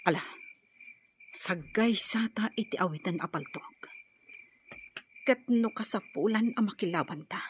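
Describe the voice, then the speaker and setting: A woman's voice: medium pitch, measured pace, long pauses, lo-fi sound, slight background noise, natural voice. A woman with a Filipino accent playing an urgent, protective, commanding older-sister rebel fighter in a radio drama. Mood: tense, hushed urgency.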